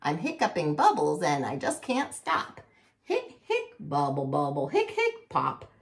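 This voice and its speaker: A woman's voice: deep voice, very animated, unhurried, full character voice. A woman voicing a silly hippo with the hiccups in a children's storybook, bouncy and rhythmic. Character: silly hiccuping hippo full of fizzy pop.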